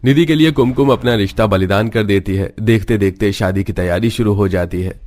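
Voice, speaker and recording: A man's voice: fairly low pitch, brisk, a little mellow. A man reading scripted storybook narration for a cartoon family tale.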